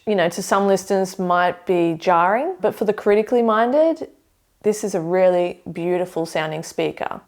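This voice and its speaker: A young woman seated in a living room, giving a measured, detailed review of speakers to camera. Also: mid-range voice, darkish tone. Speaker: audio equipment reviewer. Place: living room with hi-fi shelves, indoors.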